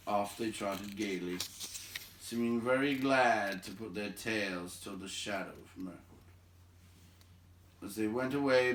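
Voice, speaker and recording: A man's voice: lowish voice, fairly bright tone, slight background noise. A man reading a storybook aloud as narrator. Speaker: reader. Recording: echoey room, distant microphone.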